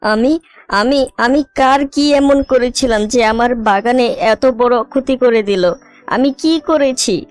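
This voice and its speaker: A woman's voice: medium pitch, lively intonation, unhurried, dry recording, soft background music, lightly acted. A woman voicing a sorrowful, hardworking mother bird in a children's cartoon, earnest and plaintive. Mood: distraught, tearful.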